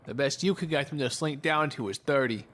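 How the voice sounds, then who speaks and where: A man's voice: medium pitch, fairly quick, fairly bright tone, lightly acted. Breezy, flippant lawyer, played by a man. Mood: chipper, teasing. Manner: breezy, sarcastic, profane. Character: chipper, needling male lawyer, boastful and flippant. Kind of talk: conversational audio drama acting.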